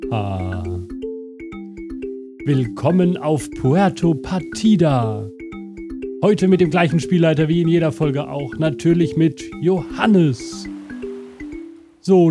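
A man hosting an interactive audio adventure as game master, with a rambling, absent-minded, deadpan delivery. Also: on the high side, unhurried, music in the background. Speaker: game master and show host.

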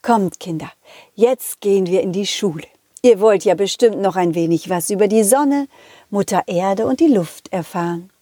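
A woman voicing a sour, easily flustered lemon schoolteacher in a children's audio play, scolding and hesitant, with drawn-out words. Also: mid-range voice, dark tone, no room sound, clean studio sound, lightly acted. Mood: giving in.

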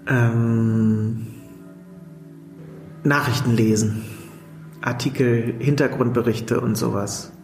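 A man's voice: medium pitch, steady tone, takes it slowly, pauses often, darkish tone, faint music behind. Guy being interviewed, casual and a bit hesitant, mid voice, echoey room. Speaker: interview guest.

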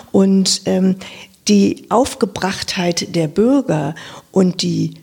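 A woman's voice: medium pitch, creaky, darkish tone, breathy edge. A middle-aged woman from northern Germany with a northern German accent, speaking hesitantly and reflectively in an interview, with many fillers. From Lower Saxony, northern Germany. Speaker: interviewee, local council member. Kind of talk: casual interview talk.

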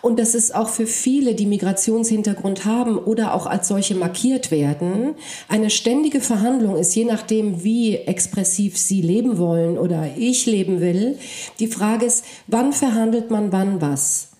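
A woman's voice: medium pitch, normal speed, mellow, roomy sound. A woman, a native Polish speaker who grew up in Germany, giving a thoughtful, searching interview answer with frequent hesitations. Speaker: interview guest. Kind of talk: reflective conversation.

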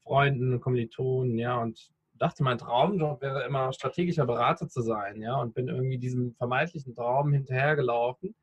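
A man's voice: medium pitch, fairly even intonation, fairly quick, few pauses, slightly rough, no echo. A man in his thirties from the Rhineland, a coach and podcaster, talking reflectively in an interview with many fillers and restarts. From Rhineland, Germany. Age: 30s. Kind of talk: casual interview talk. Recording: dull, lo-fi sound.

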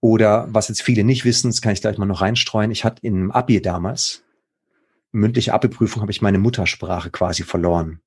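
Mental coach guest, thirties, slightly husky, lower voice, rambling and excited. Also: male voice, brisk. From Baden, Germany. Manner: enthusiastic, rambling, slangy. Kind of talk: casual interview conversation.

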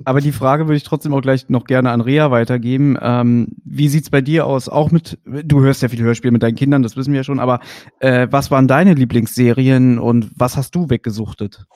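Male podcast co-host, relaxed interviewer, dry recording. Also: medium pitch, fairly quick, no echo. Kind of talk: casual interview questions.

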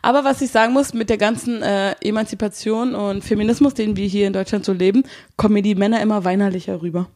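A woman's voice: mid-range voice, fairly even intonation, fast, hardly any pauses, darkish tone. A woman with a Berlin accent, co-hosting a casual podcast chat and speaking bluntly and outspokenly, swearing freely. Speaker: podcast co-host.

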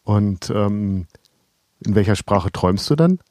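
A man's voice: fairly low pitch, lively intonation, slow, warm tone, no room sound, clean studio sound. A man interviewing a guest in a casual conversation, asking informal follow-up questions.

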